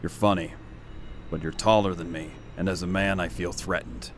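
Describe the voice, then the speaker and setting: A man's voice: deep voice, fairly even intonation, normal speed, slight background noise, close to an everyday voice. A man playing a blunt, awkwardly candid, insecure man in an audio drama. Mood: flat, candid.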